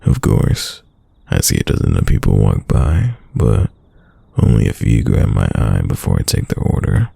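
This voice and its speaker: Man playing a very deep, gravelly, murmuring boyfriend. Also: wildly swooping pitch, moderate pace, dark tone, no room sound, heavily acted. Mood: musing. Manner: tender, musing, murmured. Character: devoted soft-spoken boyfriend whispering to his sleeping lover. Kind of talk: boyfriend roleplay ASMR, late-night intimate talk.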